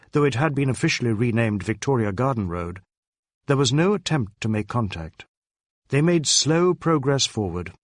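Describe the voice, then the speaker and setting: Guy reading a detective audiobook, medium pitch, careful measured phrasing, super dry close sound. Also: no echo. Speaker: audiobook narrator. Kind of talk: scripted literary narration of a detective story.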